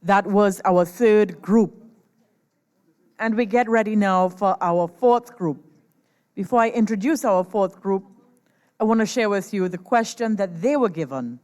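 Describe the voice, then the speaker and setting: A woman hosting a stage competition, announcing formally and deliberately through an echoey PA. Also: medium pitch, darkish tone. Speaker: host, master of ceremonies at a competition. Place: large performance venue, over a PA system. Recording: echoey hall PA, long reverb.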